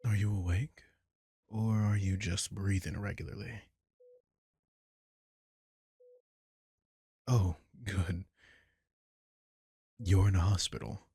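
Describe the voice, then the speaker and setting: A man playing a protective, worried vampire king in an intimate comfort audio roleplay, speaking in a hushed, tender, deliberate way with a gravelly, husky voice. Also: sits low, steady tone, no echo, clean studio sound, heavily acted. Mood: soft, relieved.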